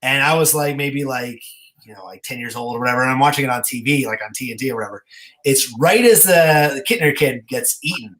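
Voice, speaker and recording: A man's voice: medium pitch, fairly quick, a bit bright. A man chatting casually as an interviewed artist, telling rambling stories and acting out remembered dialogue. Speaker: comic book artist being interviewed. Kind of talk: casual chat.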